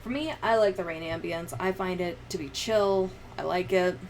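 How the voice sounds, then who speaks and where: A young woman streamer talks casually in a fairly low, gravelly voice. She rambles in a loose, sweary way close to the mic. There is clear ambient noise behind her. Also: moderate pace, low-quality recording. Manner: rambling, profane. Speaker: livestreamer. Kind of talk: casual livestream chat while editing.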